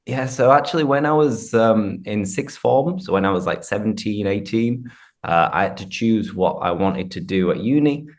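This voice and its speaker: A man's voice: lowish voice, normal speed. A man from Yorkshire with a Northern English accent, hosting a casual conversation in an informal, explanatory way. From Yorkshire, England. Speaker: host, English teacher. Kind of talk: casual chat with explanations of slang.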